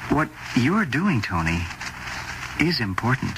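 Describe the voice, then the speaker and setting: A man acting in a radio suspense drama as a devoted friend and literary agent, speaking with earnest, deliberate emphasis. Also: mid-range voice, very animated. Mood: fervent. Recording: noisy old radio drama recording.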